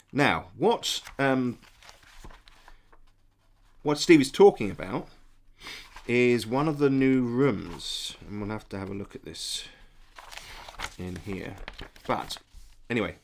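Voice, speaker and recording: A man's voice: lowish voice, a little hiss or hum. A middle-aged man with a stuffy nose from a cold, hosting a casual live stream, answering chat in a hesitant, friendly way. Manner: hesitant, many ums and restarts, friendly toward viewers.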